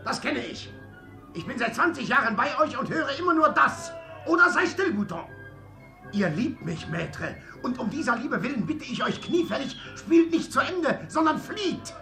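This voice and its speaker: An actor playing a fussy, anxious, devoted long-serving manservant in a German radio play, pleading and grumbling in a high, creaky voice. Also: male voice, sing-song, normal speed, sharp tone, roomy sound, faint music behind, full character voice. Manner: pleading, nervous, deferential yet grumbling. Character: fussy, anxious, devoted old male servant.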